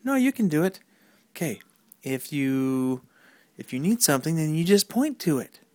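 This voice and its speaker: A father narrating a playful family skit, jumping into high, exaggerated questions as he plays along. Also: sing-song, moderate pace, a little mellow, dry recording. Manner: jumps into high, exaggerated questions when voicing his lines. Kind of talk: playful home-video narration.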